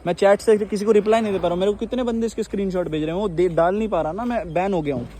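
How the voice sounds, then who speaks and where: A man's voice: high-pitched, rapid-fire, mellow. A young man with a Delhi accent talking argumentatively on a phone call, insistent, repeating himself and cutting in. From Delhi, India. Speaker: social media exposé creator on a phone call. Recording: mildly noisy recorded phone call.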